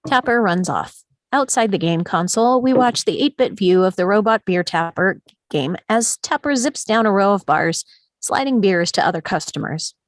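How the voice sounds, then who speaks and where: A woman narrates screenplay stage directions at a table read. She is close on the mic in a very dry space, with a mid-pitched voice. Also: expressive, normal speed, dry recording.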